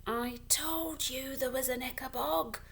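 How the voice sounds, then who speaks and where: A woman voicing frightened teenagers in a storybook, in a hushed, breathy, fearful whisper. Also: high voice, unhurried, a little hiss or hum, voice pushed up, full character voice. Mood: terrified whisper. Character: frightened teenage children whispering in a monster's cave.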